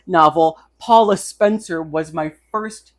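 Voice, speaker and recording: A young American woman with a low-pitched voice co-hosts a casual review podcast. She talks in loose, slangy sentences, swears freely and keeps an irreverent tone. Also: slow pace. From United States. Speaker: podcast co-host. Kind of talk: casual chat, book review banter.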